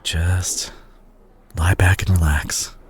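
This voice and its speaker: A man playing a remorseful, tender doctor and ex-boyfriend in an intimate roleplay audio drama, speaking softly and hesitantly with a husky voice. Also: deep voice, level tone, a little hiss or hum. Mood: tender, soothing. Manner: hesitant, soft-spoken, halting.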